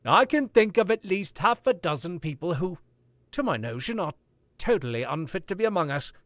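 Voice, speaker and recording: A man's voice: high-pitched, very animated, moderate pace, fairly bright tone, dry recording. A man playing a suave, sardonic older author in an old-time radio drama recreation, drawling and wry. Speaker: radio play actor.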